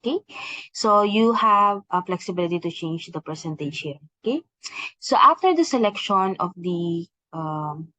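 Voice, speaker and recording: A woman explaining software step by step as an instructional trainer, hesitating often as she goes. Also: lowish voice, lively intonation, normal speed, no room sound. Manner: instructional, with frequent hesitations and repeated "okay" and "please take note". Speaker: software trainer presenting a tutorial. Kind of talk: step-by-step software demonstration, semi-scripted explanation. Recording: slightly dull, lo-fi screen-recording audio.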